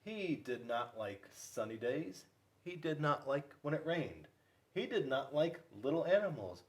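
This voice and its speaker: A man's voice: fairly high pitch, very animated, measured pace. A man reading a picture book aloud to children as a school officer, playful and speaking directly to his listeners. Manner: playful, addressing young listeners with questions. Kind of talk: animated children's read-aloud.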